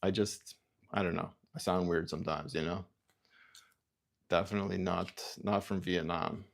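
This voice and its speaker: A man from Canada hosting a livestream, musing aloud in halting, self-interrupting sentences and reading out viewer comments. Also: low-pitched, steady tone, vocal fry. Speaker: livestream host. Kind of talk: rambling livestream chat.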